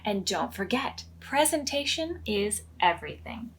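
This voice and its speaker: A woman's voice: mid-range voice, big pitch swings, measured pace, a bit bright, husky. A woman hosting a children's storytime, reading aloud expressively in simple phrases for toddlers. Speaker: children's storytime host at a library.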